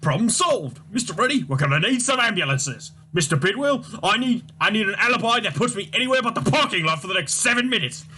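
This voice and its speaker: A man reading a comic aloud, voicing a boisterous, brash company boss with a loud, booming delivery. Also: animated, fast, few pauses.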